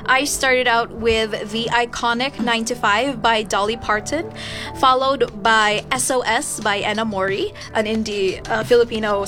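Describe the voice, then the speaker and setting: A young woman co-hosting a radio show in relaxed, casual chat, laughing a lot and adding short backchannels. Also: fairly high pitch, unhurried. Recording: music playing behind.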